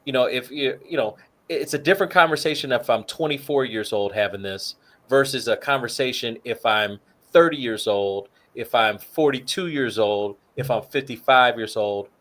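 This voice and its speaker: A man's voice: mid-range voice, normal speed, a bit bright. A man co-hosting a talk show, casually walking through retirement numbers with plenty of "you know" fillers. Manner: works through figures aloud, many fillers. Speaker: co-host.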